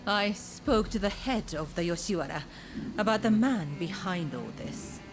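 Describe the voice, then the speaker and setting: An actress playing a gentle, courteous woman of a Japanese pleasure district in a video game, speaking softly and formally in measured, breathy phrases. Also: medium pitch, animated, lightly acted. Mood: somber, earnest. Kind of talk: scripted dramatic game dialogue. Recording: music behind the voice.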